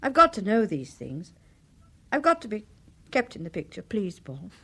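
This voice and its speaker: A woman's voice: mid-range voice, very animated, moderate pace, pushed and bright, husky, full character voice. A woman acting in a radio drama as a genteel, spirited, well-to-do wife, with a British Received Pronunciation accent. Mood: earnest.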